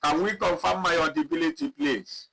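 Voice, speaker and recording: A man teaching a live online spreadsheet lesson, talking slowly and repeating himself as he clicks through data. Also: high-pitched, very animated, gravelly, pushed and bright, poor audio. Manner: slow, repetitive, thinking aloud while clicking. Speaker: instructor. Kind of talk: live online software tutorial.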